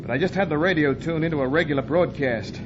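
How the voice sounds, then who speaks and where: Through muffled sound and clear engine noise comes a man acting a tough American pilot from a radio adventure serial. His voice sits a little high, and he is curt, slangy and testy. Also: quick pace, close to an everyday voice. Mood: blunt, determined. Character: gruff, irritable bush pilot, a man, terse and tough.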